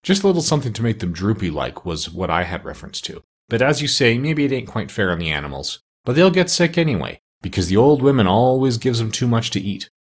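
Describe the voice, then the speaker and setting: A man's voice: mid-range voice, big pitch swings, quick pace, hardly any pauses, slightly rough. A man voicing a folksy, uneducated, scheming male meat seller who talks colloquially and persuasively, in a storybook. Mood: wheedling.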